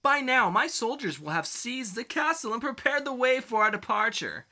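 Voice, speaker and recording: A man's voice: very high voice, big pitch swings, moderate pace, bright, forceful tone, exaggerated voice. A man voicing a whiny, grandiose dictator villain in a melodramatic, pompous comic-book reading. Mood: ranting, gloating.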